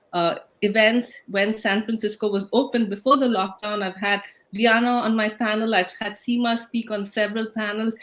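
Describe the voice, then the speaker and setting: A woman from India with an Indian accent, hosting a live panel discussion and talking conversationally with frequent restarts. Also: mid-range voice. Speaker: host.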